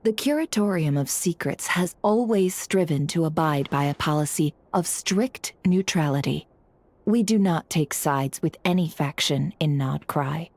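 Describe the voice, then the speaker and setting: A woman's voice: low-pitched, a little mellow, exaggerated voice. A woman playing a shrewd, coolly self-assured information broker in a video game, teasing and knowing, fond of pointed rhetorical questions. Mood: firm. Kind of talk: video game character acting.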